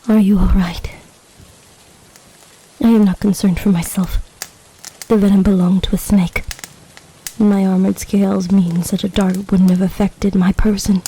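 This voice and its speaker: A woman acts out an imperious lamia empress, possessive and deeply in love. Her voice is dark and velvety, with formal, stately phrasing and a dramatic weight suited to a fantasy roleplay audio drama. Some noise sits behind the recording. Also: close to an everyday voice, low-quality recording. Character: regal, possessive, lovestruck snake-woman empress.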